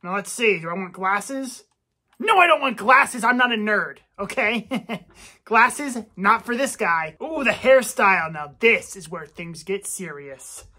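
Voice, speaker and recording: A man's voice: sits high, expressive, a little slow, bright, forceful tone, full character voice. A man playing a boastful, touchy young gamer who talks cocky and gets defensive when teased. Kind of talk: comedy gameplay sketch.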